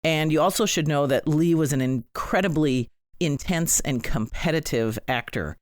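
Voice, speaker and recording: A man's voice: on the high side, moderate pace, no echo, studio quality. A man hosting a podcast, giving conversational commentary with wry, informal asides. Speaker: podcast host.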